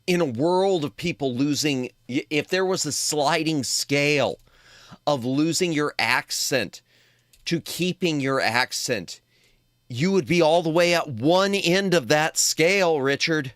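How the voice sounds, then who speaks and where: A man commentating on a game live for his chat, joking and animated. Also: fairly high pitch, unhurried, no echo, clean studio sound. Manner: jokey, animated, talks to chat. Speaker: streamer.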